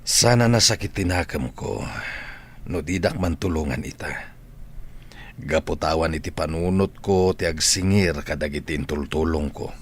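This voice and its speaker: A man acting an ailing, gentle retired schoolteacher in an Ilocano radio drama, with an Ilocano Filipino accent. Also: a bass voice, moderate pace, slight background noise. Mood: hurt. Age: older.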